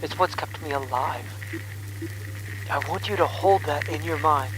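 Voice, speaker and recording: A man's voice: on the high side, unhurried, music in the background. A man playing a devoted, anguished lover speaking over a failing, fuzzy radio transmission in a radio drama. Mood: fervent. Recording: noisy, fading radio transmission.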